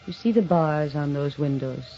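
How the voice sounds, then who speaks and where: A woman's voice: sits low, moderate pace. Grim, cool captive woman. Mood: cold, grim. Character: grim, captive sister of a killer. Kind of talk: 1950s radio mystery acting. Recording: dull old radio recording, music behind.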